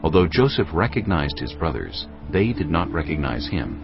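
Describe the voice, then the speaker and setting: A man's voice: a bass voice, very animated, normal speed. A man giving scripted narration for a dramatized scripture recording. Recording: dull audio with clear music.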